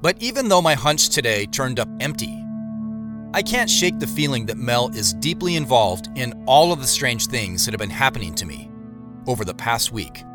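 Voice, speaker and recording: A man playing a narrator who recounts his own strange week in a measured, scripted first-person audio drama style. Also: medium pitch, big pitch swings, close to an everyday voice. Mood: reflective, measured. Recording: music behind the voice. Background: guitar music.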